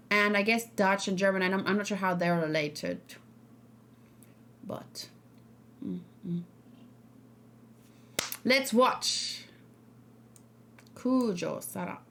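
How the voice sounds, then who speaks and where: South african girl streamer, chatting casually with her stream, medium pitch. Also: takes it slowly, long pauses, bright, forceful tone. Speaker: streamer reacting to game trailers. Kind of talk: casual livestream chat.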